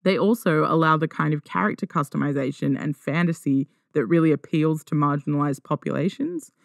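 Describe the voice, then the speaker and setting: A man's voice: fairly high pitch, gravelly, no echo, studio quality. A man hosting a podcast, reading scripted narration in a wry, informal way with conversational asides. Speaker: podcast host.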